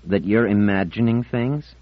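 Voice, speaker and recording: A man's voice: lowish voice, measured pace, dry recording, phone-quality sound, pitched above the performer's own voice. A man voicing a cheerful, teasing teenage boy in an audiobook, breezy and playfully reasoning. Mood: amused, skeptical.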